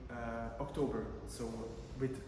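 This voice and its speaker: A man's voice: mid-range voice, steady tone, slow. A man from the giving an academic conference talk, conversational, with many fillers and restarts. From Czech Republic. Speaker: conference presenter, PhD researcher. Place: large conference room. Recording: echoey hall from far off, room noise.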